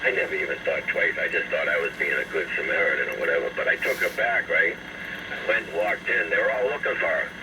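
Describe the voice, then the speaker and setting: A man's voice: very deep voice, expressive, quick pace, creaky. A man telling a casual anecdote, heard through a staticky sideband radio transmission. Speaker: CB radio operator. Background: loud radio static.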